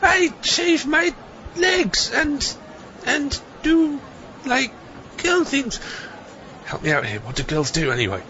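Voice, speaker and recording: A man's voice: very high-pitched, big pitch swings, slow pace, long pauses, pushed and bright, slight background noise, heavily acted. A man playing a goofy sidekick who badly pretends to be a girl in an audio comedy, speaking hesitantly. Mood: floundering. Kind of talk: comedic audio drama acting. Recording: dull recording over faint music.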